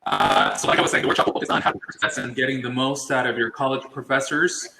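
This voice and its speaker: A man's voice: medium pitch, huge pitch swings, fast, gravelly, echoey room, background noise. Man hosting a workshop on a video call. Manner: friendly, hesitant with fillers. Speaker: professor and workshop host.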